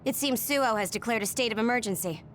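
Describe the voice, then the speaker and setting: An actress playing a composed, observant squadmate in a video game, speaking matter-of-factly. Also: female voice, fairly high pitch, quick pace, a little hiss or hum, close to an everyday voice. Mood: businesslike. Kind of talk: video game cutscene voice acting.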